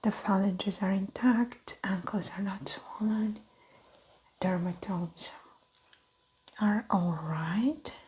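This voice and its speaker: Slow, whispering woman in ASMR doctor roleplay. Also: medium pitch, a little hiss or hum. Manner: gentle, methodical clinical instructions and observations. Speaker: ASMR performer playing a doctor. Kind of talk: soft-spoken whispered ASMR medical roleplay.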